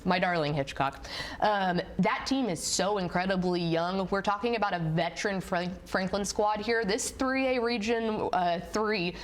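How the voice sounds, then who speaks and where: A woman working as a studio analyst, giving live high school football commentary and highlights in an excitable, emphatic way. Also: moderate pace.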